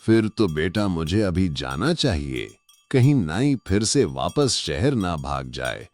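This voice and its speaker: A man's voice: low voice, sing-song, slow pace, a little mellow, full character voice. A man voicing an earnest, anxious village man in a cartoon story. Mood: eager. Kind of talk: Hindi cartoon story dialogue.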